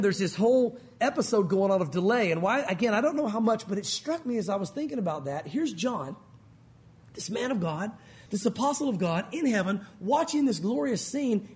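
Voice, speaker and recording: A male pastor with a loud voice, preaching in a conversational, emphatic way. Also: high voice. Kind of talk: sermon.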